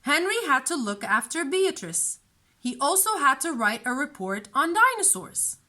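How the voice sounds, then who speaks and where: A woman storyteller narrating a children's storybook in an expressive, deliberate way. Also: sits high.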